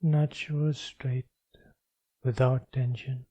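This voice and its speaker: A man leading a guided meditation as a teacher, speaking calmly and in measured short phrases with long pauses. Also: medium pitch, husky, dry recording.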